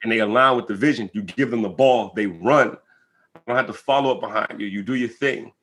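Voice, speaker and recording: Earnest American man with a bright, forceful voice. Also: on the low side, brisk. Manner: earnest, emphatic, checks in with listener. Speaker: interview guest, rapper. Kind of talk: reflective conversational interview answers.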